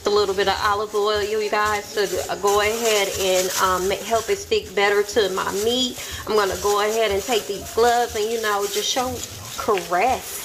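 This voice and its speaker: A woman's voice: medium pitch, steady tone, normal speed, noisy background. A woman chatting casually through a home cook-along in her kitchen, playful and talkative. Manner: chatty, playful, says y'all a lot. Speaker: cooking vlogger.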